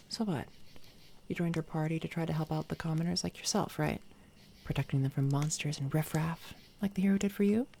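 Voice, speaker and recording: Woman as a gravelly, soft-spoken smug villainess. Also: low-pitched, slight background noise. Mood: condescending, taunting. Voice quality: soft, breathy, often slipping into whisper. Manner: taunting, condescending, unhurried. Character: smug, menacing villainess doppelganger from another timeline. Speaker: ASMR roleplay performer. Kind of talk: binaural soft-spoken ASMR roleplay. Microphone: close binaural microphone.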